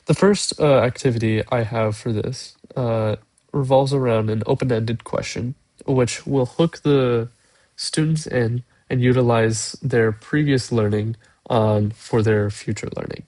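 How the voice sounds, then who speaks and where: A man's voice: mid-range voice, fairly even intonation, measured pace, darkish tone, no echo, low-quality recording. A young man with a stuffy, congested nose from a cold, hesitantly walking through a lesson he built, with many "uh" fillers, recorded as a voice-over on a computer mic. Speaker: education student presenting a lesson. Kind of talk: screen-recorded lesson walkthrough. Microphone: computer mic, voice-over on screen recording.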